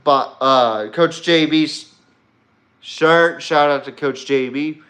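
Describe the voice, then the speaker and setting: A man's voice: fairly high pitch, slow, fairly bright tone. A man hosting a podcast, giving casual, opinionated commentary in a rambling, blunt and emphatic way. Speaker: sports podcast host.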